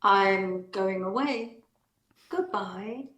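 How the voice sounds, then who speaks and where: A woman playing a restrained, elegant married lady, wistful yet resolute, in a measured amateur play reading. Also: mid-range voice, a bit bright, roomy sound. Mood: brisk, composed.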